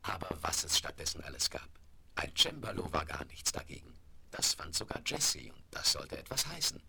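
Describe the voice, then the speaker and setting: A man playing the expressive narrator of a children's radio play, on a noisy old vinyl recording. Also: extremely low pitch, moderate pace, gravelly, in a whisper, heavily acted. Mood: wry, storytelling. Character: expressive male storyteller of a children's tale. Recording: noisy, crackly 1970s vinyl rip.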